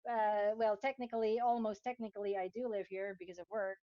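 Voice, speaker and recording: A woman's voice: medium pitch, steady tone, fairly quick, dry recording, highs cut off. A woman in her thirties from the Philippines, chatting casually as co-host, talking hesitantly with many fillers. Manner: hesitant, lots of fillers and restarts, laughs often. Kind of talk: casual chat.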